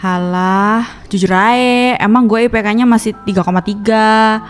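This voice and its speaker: A woman's voice: medium pitch, steady tone, measured pace, natural voice. Woman doing a sarcastic touchy college girl in a cartoon, talk, snaps at everyone, faint music. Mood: scornful. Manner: scoffing, confrontational. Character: snappy, sarcastic female college student, easily offended. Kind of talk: animated horror story dialogue.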